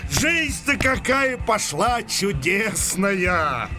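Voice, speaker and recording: Very high-pitched, gushing patriotic townsman. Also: big pitch swings, very slow, over music, heavily acted. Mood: rapturous. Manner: exultant, declamatory, gushing. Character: jubilant, blustering tavern townsman cheering for the king. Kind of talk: radio play acting.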